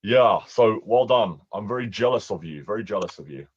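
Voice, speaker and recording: A man's voice: fairly low pitch, animated, brisk, breathy edge, highs cut off. A man with a London accent, an online English teacher chatting casually with a student over a video call, laughing often and restarting his sentences. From England. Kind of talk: casual one-on-one conversation lesson.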